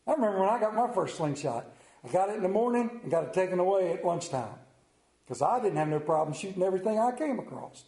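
A man's voice: a little high, dark tone, reverberant, poor audio. A man with a Central Maryland accent preaching a sermon, folksy and mixing stories with personal asides. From Central Maryland, United States. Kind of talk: sermon with storytelling.

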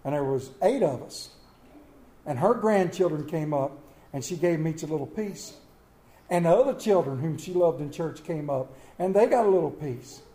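A man preaching a Mother's Day sermon, folksy and storytelling, with dramatic slow pauses on quoted lines. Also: fairly high pitch, animated, dark tone. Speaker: pastor. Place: church sanctuary. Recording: echoey church room.

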